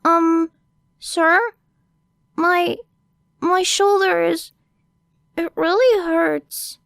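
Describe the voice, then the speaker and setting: A woman voicing a cunning young girl who feigns a timid, hurt child, halting and plaintive, in an audiobook story. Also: very high-pitched, fairly even intonation, creaky, a bit bright, dry recording, studio quality, voice pushed up, heavily acted. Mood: pleading, pained.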